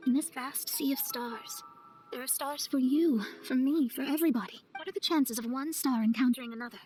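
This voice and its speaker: A wistful young astrologer played by a woman in a video game, with a high voice. She speaks in measured, reflective, eloquent phrases while music plays clearly behind her. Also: a little mellow, natural voice.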